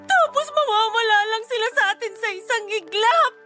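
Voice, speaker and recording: Bereaved young-sounding mother for radio drama: defensive, reproachful, piercingly high, light music underneath. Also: lively intonation, a little fast, bright, forceful tone, heavily acted. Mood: tearful reproach. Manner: indignant, tearful, reproachful. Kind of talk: emotional radio drama acting.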